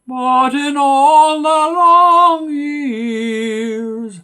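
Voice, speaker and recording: A man with an Irish accent singing old ballads in a slow, sustained style. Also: very high voice, bright, forceful tone. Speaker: singer.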